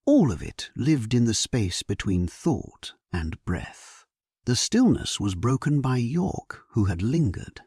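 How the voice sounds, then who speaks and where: A man narrating a first-person historical sleep story in a solemn, measured, hushed, breathy voice, as a grave and resolute medieval king. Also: mid-range voice, big pitch swings, dry recording, studio quality.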